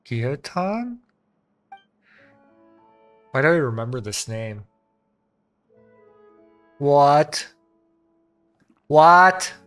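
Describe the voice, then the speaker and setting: A woman with a low voice comments casually as a game streamer. Her delivery is slow and informal, with faint music behind her. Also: lively intonation.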